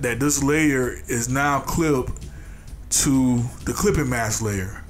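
A congested man with a nasal voice hosts a casual drawing tutorial, talking loosely with restarts and fillers. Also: mid-range voice. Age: adult, a father. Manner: loose and repetitive, restarting phrases, many fillers. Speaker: art tutorial host.

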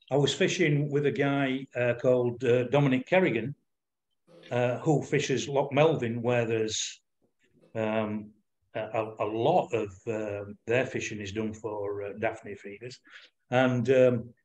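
A man's voice: medium pitch, measured pace, darkish tone. Older Yorkshire man explaining his craft. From Yorkshire, England. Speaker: fly-tying demonstrator. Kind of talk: informal demonstration with questions and answers. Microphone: video call.

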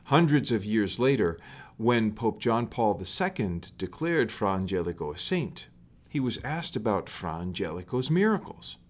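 A middle-aged man reads a children's story aloud in a measured, deliberate way, recorded indoors in a small room. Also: on the low side, very animated.

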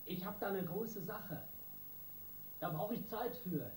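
An actor playing a hot-tempered small-time gang boss in a radio crime drama, coarse and threatening in street slang. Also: male voice, high voice, lively intonation, slow, a little mellow. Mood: boastful, menacing. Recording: roomy, distant, noisy radio drama.

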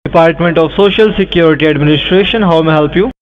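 Male scam caller, stiff official script, slightly high voice, tinny phone line. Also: quick pace, heavy background noise. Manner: formal official phrasing. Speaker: phone scammer.

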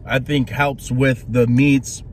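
A man's voice: medium pitch, flat delivery, slow, a little hiss or hum. A man in his thirties co-hosting a casual food review from a parked car, laid-back and amused. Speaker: radio co-host.

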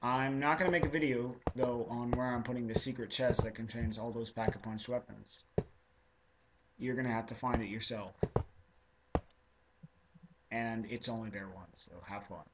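A hoarse teenage boy rambling casually while building in a game. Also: mid-range voice, steady tone, normal speed, pauses often. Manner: rambling, chatty, self-interrupting. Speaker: video creator. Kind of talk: casual gameplay commentary. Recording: noisy home recording.

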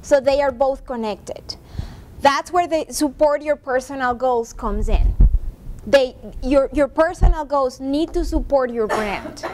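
A woman's voice: high-pitched, unhurried, darkish tone, slight background noise, rough recording. A woman in her forties presenting a professional development workshop to a seated class, talking conversationally and often checking in with "right?". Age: 40s. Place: classroom with a seated audience, projector screen. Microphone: far room camera.

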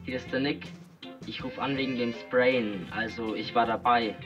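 A man's voice: medium pitch, steady tone, normal speed. An actor playing a keen, naive young male graffiti sprayer on a phone line. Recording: dull phone call sound with music.

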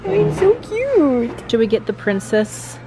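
A woman's voice: high-pitched, big pitch swings, measured pace, music in the background. A middle-aged American woman chatting casually to viewers, informal and thinking aloud as she goes. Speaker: family vlogger.

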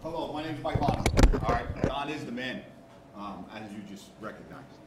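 A man's voice: medium pitch, animated, normal speed. A man in his fifties with a Queens, New York accent, giving a casual talk to students as a guest engineer and checking in often with the room. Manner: conversational, keeps checking in with "all right?" and "okay?". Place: large classroom, indoors. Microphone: far room camera. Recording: echoey classroom from far off, noisy.

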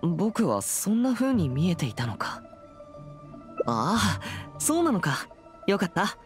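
A serious teenage boy exorcist from an anime game. The voice swings widely in pitch, formal and principled, with music behind it. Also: very animated, slow. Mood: earnest.

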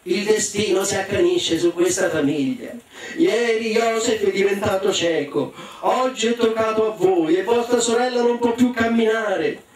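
A man voicing a wicked, greedy mother in a story, commanding and exclamatory, with drawn-out emphasis. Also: high voice, pitched above the performer's own voice, natural voice. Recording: echoey room, distant mic, faint noise.

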